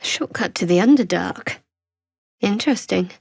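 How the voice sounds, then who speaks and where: A woman's voice: medium pitch, animated, measured pace, gravelly, dry recording. A woman playing a guarded, sardonic half-elf cleric in a fantasy video game, with a dry delivery. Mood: composed, observant.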